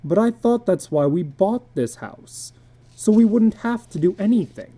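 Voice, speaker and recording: A woman's voice: mid-range voice, expressive, unhurried, mellow, voice pushed up. A woman voicing a reassuring husband and father in a dramatic story reading, soothing and reasoning. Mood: doubtful.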